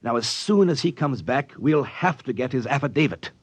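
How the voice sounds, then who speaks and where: An actor with an American accent playing a flustered, formal company official in a 1950s radio drama, officious and prone to stammering. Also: male voice, mid-range voice, animated, moderate pace, close to an everyday voice. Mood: flustered, hedging. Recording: muffled old radio broadcast, long reverb.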